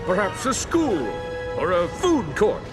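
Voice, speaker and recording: A vain, muscle-bound cartoon superhero from a video game. He is bombastic and none too bright, with an animated, fairly high male voice. Music runs behind him. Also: measured pace. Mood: grandiose. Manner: bombastic, self-important. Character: pompous, vain, dim-witted muscleman superhero. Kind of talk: animated game cutscene acting.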